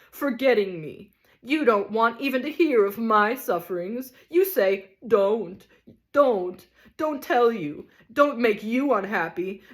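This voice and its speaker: A woman's voice: fairly high pitch, voice pushed up, close to an everyday voice. Woman playing a pompous theatrical male ghost. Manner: theatrical, declaiming, bitter. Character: pompous self-pitying male ghost actor.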